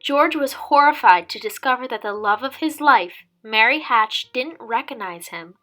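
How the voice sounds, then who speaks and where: A woman reading a children's story aloud as a teacher would, with dramatic, expressive delivery. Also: fairly high pitch, normal speed. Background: background music.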